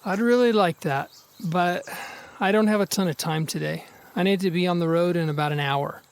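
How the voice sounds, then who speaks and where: Guy playing wistful older brother in audio drama, young, pretty high voice. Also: normal speed, clear, resonant tone. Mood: wistful, friendly. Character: wistful eldest brother on a farewell visit.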